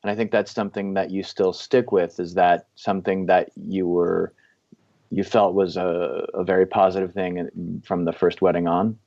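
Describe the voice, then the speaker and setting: A man's voice: deep voice, flat delivery, moderate pace, vocal fry, darkish tone, dull audio. A man hosting a podcast, asking long, rambling questions in casual talk. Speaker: podcast host.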